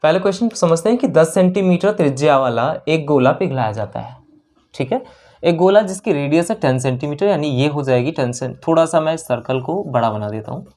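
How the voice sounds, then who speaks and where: A man's voice: a little high, lively intonation, fairly quick, darkish tone. North Indian man teaching maths, repeating himself. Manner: instructive, repetitive, with frequent check-in questions. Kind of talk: lesson explained while solving problems.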